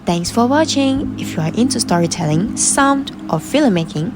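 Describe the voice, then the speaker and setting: A woman's voice: fairly high pitch, animated, moderate pace, noisy background. A woman presenting a scripted explainer narration in the manner of a video essay.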